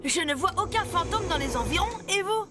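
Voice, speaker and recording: A brave young pirate boy, very high in pitch. He sounds earnest and eager, a cartoon crew leader talking over music. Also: expressive, brisk, a loud crowd. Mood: alert. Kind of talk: French children's cartoon dubbing. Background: background music.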